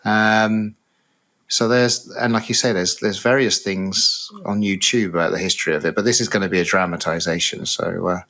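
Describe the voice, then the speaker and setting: Middle-aged man from the UK, co-host, lowish voice, hesitant, lots of fillers. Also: steady tone, moderate pace, sharp tone. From United Kingdom. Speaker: podcast co-host. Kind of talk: casual chat.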